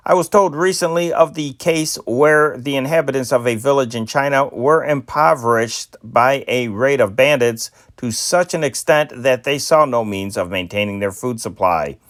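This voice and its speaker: A man's voice: medium pitch, a bit bright, no room sound, studio quality. A man reading old newspaper stories aloud as an amateur newsreader, often stumbling over names and correcting himself. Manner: amateur, non-professional delivery.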